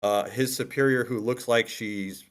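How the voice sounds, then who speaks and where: A man's voice: mid-range voice, steady tone, unhurried. A man in a casual workshop discussion, explaining his view by example and rephrasing as he goes. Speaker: participant in a screenwriting critique.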